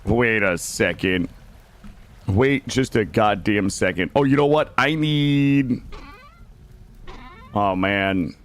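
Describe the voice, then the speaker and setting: Chatty man with a slightly gravelly morning voice. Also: fairly low pitch, takes it slowly, pauses often. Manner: informal, chatty, mild swearing, playful asides. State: voice not warmed up. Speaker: game livestreamer. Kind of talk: casual gaming livestream commentary and chat.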